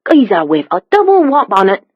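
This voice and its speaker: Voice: medium pitch, huge pitch swings, brisk, husky, no room sound, lo-fi sound, pitched above the performer's own voice. A narrator voicing a small, wiry, suspicious Cockney man who talks in terse, guarded slang. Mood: suspicious, gruff. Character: small wiry suspicious Cockney male messenger, hard and sneering.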